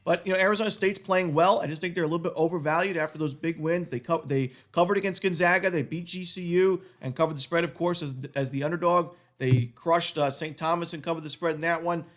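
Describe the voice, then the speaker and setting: A man with a cold, his voice going a little, giving a quick solo rundown of sports betting picks packed with stats. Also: on the high side, steady tone, a bit bright.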